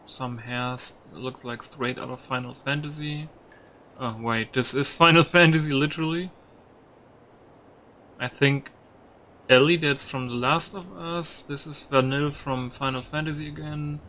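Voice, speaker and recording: Bright-voiced man with a gravelly creak. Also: fairly high pitch, fairly even intonation, measured pace, a little hiss or hum. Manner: musing aloud, mildly profane. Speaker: video game streamer. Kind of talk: casual gameplay commentary.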